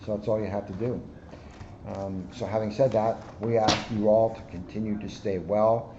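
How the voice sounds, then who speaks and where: A man's voice: fairly low pitch, steady tone, normal speed. An American man, a police officer chatting informally to local families in a community video. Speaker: police officer reading to children. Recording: dull sound with faint noise.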